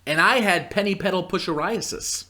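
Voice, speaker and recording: A man playing a dry, high-voiced radio sidekick. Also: moderate pace, sharp tone. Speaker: co-host of a radio comedy sketch. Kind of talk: live radio comedy acting.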